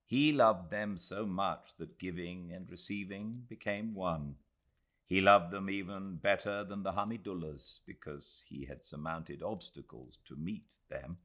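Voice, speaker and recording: A British man reading a literary audiobook in a measured, expressive storytelling manner. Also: low-pitched. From Britain. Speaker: audiobook narrator.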